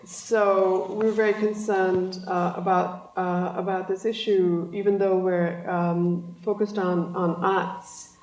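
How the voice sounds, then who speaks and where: A woman giving a panel presentation with slides, speaking haltingly with many pauses and ums. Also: on the low side, level tone, darkish tone. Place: large hall. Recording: echoey hall from far off.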